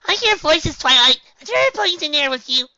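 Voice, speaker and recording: A woman voicing an excitable, bubbly young pony girl with a shrill, squealing voice. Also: fast, dry recording, full character voice. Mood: excited. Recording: dull dry lo-fi recording.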